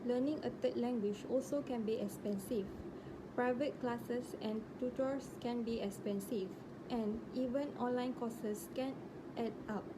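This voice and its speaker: A Malaysian woman student reading a prepared class presentation in a steady read-aloud way. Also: fairly high pitch. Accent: Malaysian English. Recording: steady background hiss.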